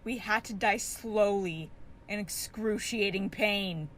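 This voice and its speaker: An actress playing an anguished murder victim in a radio mystery, speaking slowly in a wounded, pleading way. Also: female voice, medium pitch, fairly bright tone, slight background noise. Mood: bitter anguish. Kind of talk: radio mystery theatre acting.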